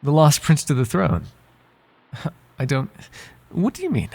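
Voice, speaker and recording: Male actor as devoted, courtly butler for ASMR roleplay; soft-spoken, husky, slightly gravelly, politely flirtatious, prone to hesitation. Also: a little high, huge pitch swings, slow pace, exaggerated voice. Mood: uneasy curiosity. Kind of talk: ASMR roleplay voice acting, intimate one-sided dialogue.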